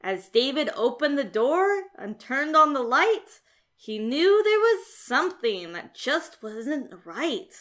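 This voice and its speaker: High-voiced woman reading a children's story. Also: very animated, takes it slowly, fairly bright tone. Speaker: storybook narrator. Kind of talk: expressive rhyming children's story narration.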